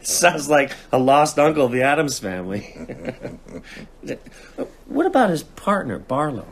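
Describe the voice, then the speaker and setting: An actor playing a wry, guarded novelist, casual and dry-humoured, in a conversational radio drama. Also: male voice, fairly high pitch, very animated, a little slow, vocal fry, slightly rough, heavily acted.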